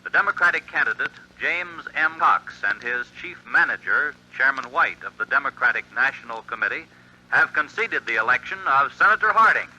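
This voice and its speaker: An actor playing a 1920s radio announcer reading bulletins in a brisk, excited way, in a 1940s radio drama. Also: male voice, medium pitch. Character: male 1920 radio announcer, hurried. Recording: dull lo-fi 1940s radio recording.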